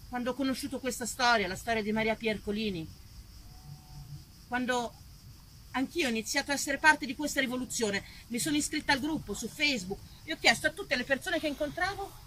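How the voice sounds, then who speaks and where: A woman delivers a live stage monologue in a bright, forceful voice, pitched on the high side. She tells her story expressively and dramatically, engaging her listeners directly. There is clear noise behind her in the live recording. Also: poor audio. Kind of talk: live theatrical monologue told to an audience. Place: live performance before a small audience.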